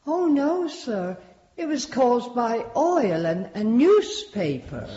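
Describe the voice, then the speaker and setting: A woman's voice: a little high, very animated, takes it slowly, background noise. An actress playing a deferential yet firm female Victorian servant giving evidence in a radio courtroom drama. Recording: muffled, long-echo courtroom sound.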